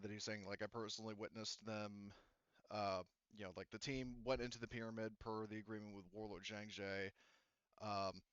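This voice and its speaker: Man, low-pitched, clean resonant voice, GM who rambles with lots of ums; dry muffled recording. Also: fairly bright tone, clean tone, no echo. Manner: rambling, many fillers and restarts. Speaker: game master. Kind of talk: narrating a tabletop game.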